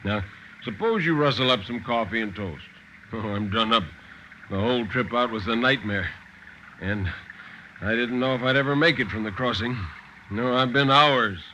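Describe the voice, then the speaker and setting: A man's voice: mid-range voice, normal speed, pauses often, sharp tone, a little hiss or hum, natural voice. A man voicing a tired, cheerfully dismissive husband with a soothing, patronizing manner, in 1950s radio style. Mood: weary, placating. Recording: dull, lo-fi 1950s radio recording.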